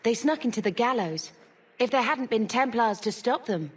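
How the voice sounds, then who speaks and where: Earnest, higher-voiced younger sister. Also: female voice, lively intonation, moderate pace, husky. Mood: worried, questioning. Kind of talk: dramatic video game acting.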